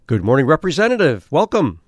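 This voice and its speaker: A man's voice: fairly high pitch, very animated, brisk, no room sound, studio quality. A man hosting a morning news radio program, announcing and then interviewing a guest.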